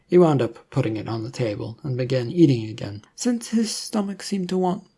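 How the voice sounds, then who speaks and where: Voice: medium pitch, expressive, brisk, mellow, breathy edge. A narrator reading a scripted fiction story aloud.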